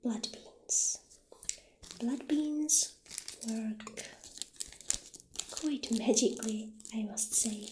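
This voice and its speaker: Female ASMR shopkeeper role, soft and half-whispered, higher-pitched, slow delivery, roomy sound with background noise. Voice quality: soft, breathy, often whispery. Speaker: ASMR performer playing a witch shopkeeper.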